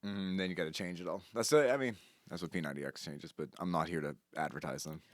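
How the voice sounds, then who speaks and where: Low-voiced laughing male podcast co-host. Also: fast talker, dry recording, clean studio sound. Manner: chatty, laughs mid-sentence, swears casually. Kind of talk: casual chat.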